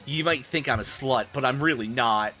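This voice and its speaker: A man's voice: mid-range voice, moderate pace, sharp tone, soft background music, natural voice. A man voicing a whiny, defiant, melodramatic teenage goth girl in an exaggerated fan fiction reading. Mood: defensive.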